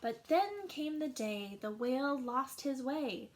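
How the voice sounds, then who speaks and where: A woman teacher reads a rhyming picture book aloud to children. Her voice is on the higher side, and she takes the verses slowly and expressively, lingering on each line. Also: background noise. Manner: slow, expressive rhyming narration. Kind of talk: storybook read-aloud.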